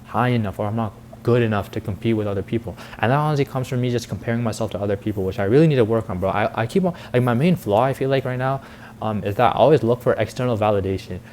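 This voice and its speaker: Fast-talking eighteen-year-old guy, rambling. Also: medium pitch, darkish tone, slight background noise. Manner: rambling, heavy youth slang with frequent "like" and "bro". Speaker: vlogger and content creator. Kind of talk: casual confessional vlog monologue.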